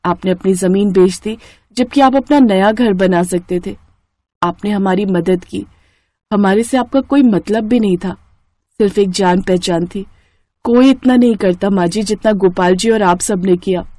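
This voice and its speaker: A woman's voice: medium pitch, a little slow, mellow, dry recording, natural voice. A woman voicing a dutiful, grateful young village bride, polite and earnest, in a cartoon moral story.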